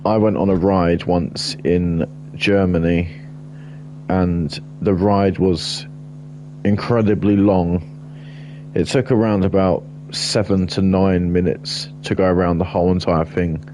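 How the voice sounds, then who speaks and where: A man with a very deep, low voice talks slowly through a game, drifting into blunt, rambling asides as he builds. Steady noise hisses under his voice the whole time. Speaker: gaming streamer. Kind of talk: casual gameplay commentary.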